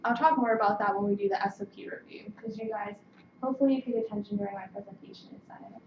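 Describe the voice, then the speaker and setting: A woman's voice: mid-range voice, fairly even intonation, quick pace. A young American woman chairing a student meeting in an informal, conversational way. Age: young adult. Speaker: student government committee chair. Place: meeting room. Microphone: distant room microphone. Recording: distant muffled mic, echoey room, noisy.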